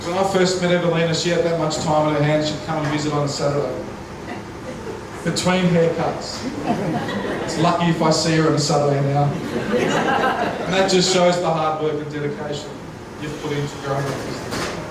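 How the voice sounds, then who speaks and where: A man giving a heartfelt groom's wedding speech, with a loud voice and a few light jokes. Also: fairly high pitch, fairly even intonation, fairly quick, heavy background noise. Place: wedding reception hall. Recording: echoey hall, voice from far off.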